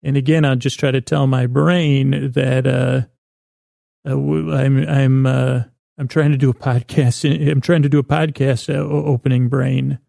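A man with a gravelly, creaky voice and a soft, mellow tone hosts a bedtime podcast in a close, dry sound. He meanders whimsically from thought to thought, stopping, doubling back and repeating words. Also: mid-range voice, flat delivery, a little slow, no room sound. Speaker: podcast host. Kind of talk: rambling, whimsical bedtime monologue.

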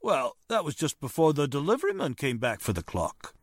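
Lady doing a folksy excitable watchman for a vintage radio whodunit, swings all over, very dry sound. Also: low-pitched, brisk, dry recording, full character voice. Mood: plain, helpful. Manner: folksy, uneducated speech. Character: folksy, excitable male guard, Mister Howard. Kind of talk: 1940s radio mystery acting.

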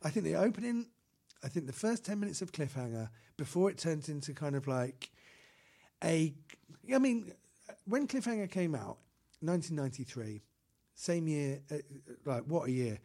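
A man's voice: a little high, animated, normal speed, creaky, dark tone. A man co-hosting a comedy podcast, chatting casually about films in a rambling, enthusiastic way with lots of restarts. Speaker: podcast co-host.

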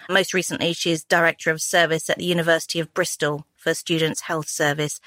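A woman in her fifties hosts a casual podcast chat at home, chatty and quick to laugh. Her voice sits at a middle pitch. The sound is dry and close. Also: fairly bright tone, no room sound. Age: 50s. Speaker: podcast co-host. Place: home living room.

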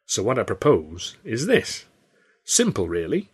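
A man's voice: on the low side, wildly swooping pitch, a little slow, husky, heavily acted. Man acting sly older theatre boss. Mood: scheming, bargaining. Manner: wheedling, pointed, colloquial bargaining. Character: sly, overbearing older male theatre boss, falsely genial.

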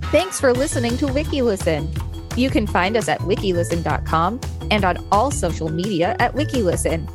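A woman's voice: mid-range voice, expressive, normal speed, music in the background. A woman co-hosting a podcast, talking in a casual, conversational style. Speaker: podcast co-host.

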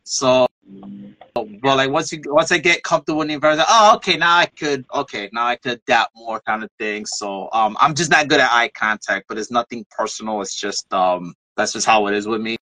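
A man's voice: mid-range voice, fairly quick, sharp tone, no room sound, poor audio. A man in his late thirties hosting a podcast, talking candidly and casually. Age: 30s. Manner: candid, laid-back, swears casually. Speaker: podcast host. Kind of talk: casual chat.

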